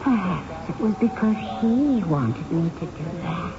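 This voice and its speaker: An actress playing an uncanny, hypnotic female saurian creature in a radio drama, calm, deliberate and insinuating. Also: mid-range voice. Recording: noisy, muffled old radio recording.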